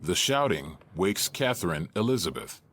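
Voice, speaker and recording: A man's voice: low voice, big pitch swings, moderate pace, a bit bright, a little hiss or hum. A man narrating the stage directions of an audio drama in a detached, matter-of-fact manner.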